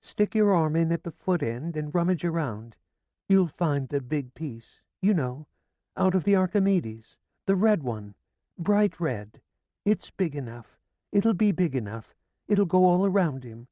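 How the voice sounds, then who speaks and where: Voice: lively intonation, a little slow, mellow, dry recording, lightly acted. A reader voicing a frantic, grieving older woman who pleads and nearly screams, in an audiobook. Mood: urgent. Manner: shrill, pleading, near screaming. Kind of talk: audiobook character voice.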